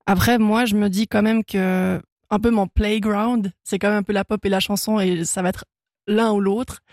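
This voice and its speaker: Young swiss singer, german native, a bit of fry in the voice, chatty, laughs a lot, dry studio sound. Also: female voice, mid-range voice, fairly even intonation, normal speed, a little mellow, no echo. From Switzerland. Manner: chatty, laughs often, many fillers and restarts. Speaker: guest singer-songwriter. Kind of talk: casual radio interview. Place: radio studio.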